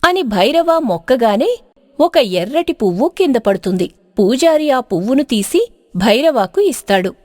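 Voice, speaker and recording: A woman's voice: sits high, very animated, normal speed, darkish tone, no echo, clean studio sound. A woman narrating a scripted moral story in a dramatic storytelling style.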